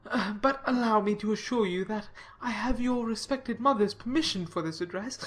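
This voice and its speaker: A woman's voice: mid-range voice, moderate pace, close to an everyday voice. A woman playing a solemn, self-satisfied clergyman in an audiobook drama, speaking in a pompous, formal, long-winded way. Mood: pompous, self-assured.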